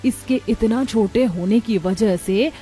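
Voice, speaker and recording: Cartoon daughter-in-law played by a woman: young, fretful, complaining; mellow, fairly high voice with light music. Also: slow. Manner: complaining, earnest. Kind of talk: cartoon story dialogue.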